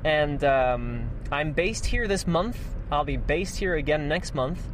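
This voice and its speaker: Inside a moving car, with road and engine noise clearly audible, a man with an American accent talks in a slightly high-pitched voice. He muses aloud like a solo podcast host, circling back over his phrases and pausing to find the next thought. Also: lively intonation. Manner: unhurried, halting, repeats phrases while thinking aloud. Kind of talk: stream-of-consciousness solo podcast, casual musing. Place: inside an old car being driven, windows closed. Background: road noise, engine, passing vehicles.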